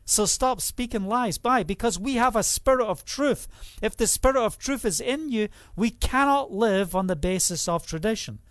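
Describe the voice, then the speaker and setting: Scottish man preaching, higher voice, close dry sound, middle-aged, asks and answers his own questions. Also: animated, moderate pace, a bit bright, dry recording. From Scotland. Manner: reads scripture aloud then explains it. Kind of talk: sermon.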